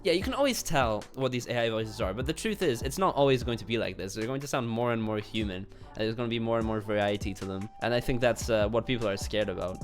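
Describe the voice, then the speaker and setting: A young man from Poland with a Polish accent giving informal, sweary YouTube commentary and reading comments aloud. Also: medium pitch. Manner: informal, swearing, chuckles between remarks.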